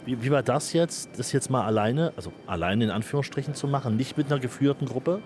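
A man's voice: medium pitch, fast, darkish tone, slight background noise. A male radio host chatting with a guest outdoors on a city square. He talks loosely and jokes, with a mid-range voice and plenty of fillers. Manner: chatty, joking, filler-heavy. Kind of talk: casual radio interview.

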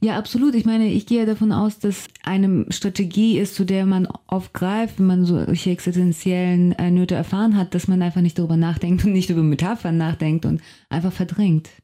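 Thoughtful writer with a mellow voice. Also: female voice, fairly low pitch, fairly even intonation, normal speed, hardly any pauses. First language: Russian. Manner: thoughtful, reflective, searching for words. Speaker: novelist and translator. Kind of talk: radio interview guest. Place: radio studio.

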